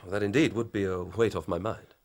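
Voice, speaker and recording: A man's voice: low voice, fairly even intonation, brisk. An actor playing an earnest, eager male police inspector reporting his findings in a radio drama.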